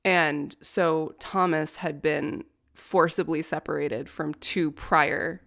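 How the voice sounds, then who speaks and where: A woman's voice: sits low, steady tone, takes it slowly, vocal fry, fairly bright tone. A young adult woman co-hosting a podcast, telling a history story in casual chat with wry, profane asides and a nerdy interest in law. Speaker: podcast co-host.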